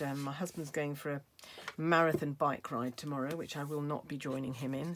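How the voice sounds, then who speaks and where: A middle-aged woman with a low, slightly croaky voice talks through a card-making demo. She is chatty, thinking aloud as she works at her craft table. A faint hiss and the odd click sit under her voice. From United Kingdom. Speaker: craft demonstrator. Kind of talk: casual craft tutorial.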